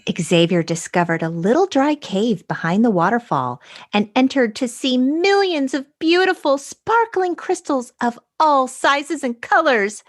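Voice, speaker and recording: A woman playing a wide-eyed storybook narrator, reading a fairy tale in a deliberate, dramatic way. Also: sits high, big pitch swings, pitched above the performer's own voice, exaggerated voice. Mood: wondrous.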